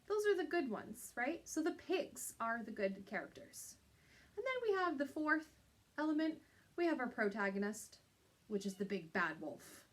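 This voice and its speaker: Woman teacher w a slightly high voice, explaining stuff to little kids, checks they follow. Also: big pitch swings, moderate pace. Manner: explanatory, checks understanding with tag questions. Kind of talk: instructional lesson for young pupils.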